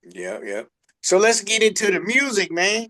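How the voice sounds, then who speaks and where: A man's voice: sits high, big pitch swings, moderate pace, sharp tone. A man hosting a music show, chatting casually in an informal, slangy, effusive way. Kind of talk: casual interview chat.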